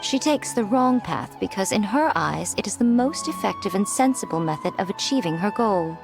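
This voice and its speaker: A woman's voice: mid-range voice, animated, moderate pace, vocal fry. A woman voicing a poised, playful and calculating female mentor in a video game, speaking in a composed, formal, teasing way. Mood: knowing. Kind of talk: English video game voice acting. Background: music.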